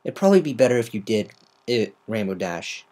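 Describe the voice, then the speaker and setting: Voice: mid-range voice, big pitch swings, a little fast, a little mellow, natural voice. A performer voicing an earnest, concerned young female cartoon unicorn pony with a sensible manner.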